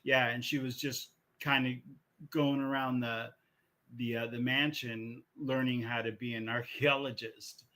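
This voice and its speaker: A man co-hosting a podcast, chatting casually and working through his points in a halting, rambling way with repeated phrases. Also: medium pitch, level tone, pushed and bright. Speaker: podcast co-host. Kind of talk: casual chat about video game movies.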